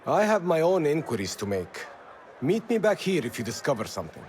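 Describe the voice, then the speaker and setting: A man's voice: fairly high pitch, very animated, fairly quick, darkish tone, slight background noise. An actor playing a proud male rebel leader in a game cutscene, defiant and fiery. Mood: calm. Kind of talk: video game cutscene acting.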